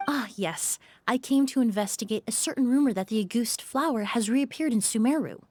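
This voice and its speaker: An actress playing a refined young woman perfumer in a video game, polite, measured and composed. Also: fairly high pitch, a little mellow, no room sound, natural voice. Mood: composed, earnest.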